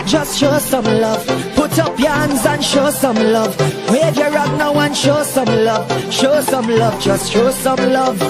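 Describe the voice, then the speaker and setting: A high-voiced woman with a lilt sings a reggae-style chant, repeating the hook in call-and-response style. Loud Afrobeat backing music pounds under her voice. This is a recorded song played over a heavy backing track. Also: measured pace. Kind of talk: singing a reggae unity song.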